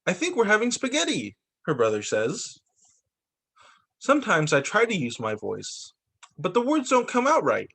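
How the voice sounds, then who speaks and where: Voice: mid-range voice, wildly swooping pitch, moderate pace, vocal fry, a bit bright, husky. A teacher reading a picture book aloud to children, expressively acting out the characters' lines. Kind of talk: animated children's story reading. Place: remote video story time for distance learning.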